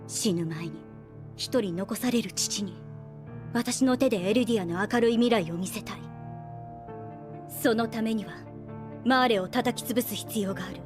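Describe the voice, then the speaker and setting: A woman acting as a shrewd young female soldier in an anime drama. She speaks at a medium pitch, composed and unhurried, coolly persuasive with a softly teasing edge. Music plays clearly behind her. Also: lightly acted. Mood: quiet, earnest. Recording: background music under the voice.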